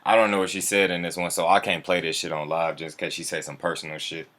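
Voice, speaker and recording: A man's voice: sits low, level tone, quick pace, a bit bright. A man hosting a casual livestream, talking in a laid-back, slangy, profane way and chuckling often. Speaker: livestream host.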